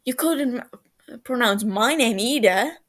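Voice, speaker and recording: A woman voicing an excitable, foul-mouthed young man in a story, loud and exclaiming, indignant but affectionate. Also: a little high, unhurried, voice pushed up.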